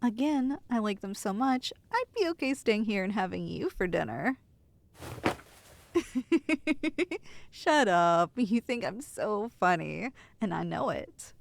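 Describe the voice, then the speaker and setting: Woman acting as tender, teasing girlfriend, slightly creaky voice. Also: medium pitch, animated, takes it slowly, a little hiss or hum. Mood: playful. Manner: tender, playful, teasing, reassuring. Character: affectionate teasing girlfriend soothing her nervous partner. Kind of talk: ASMR roleplay, intimate acted monologue.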